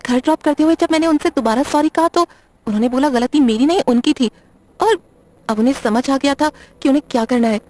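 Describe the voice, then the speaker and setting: A woman's voice: sits high, fairly quick, darkish tone, no echo, natural voice. A woman playing a nervous, apologetic young librarian in an audio drama, hesitant, stammering and defensive. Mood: troubled, explaining. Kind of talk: Hindi audio drama acting.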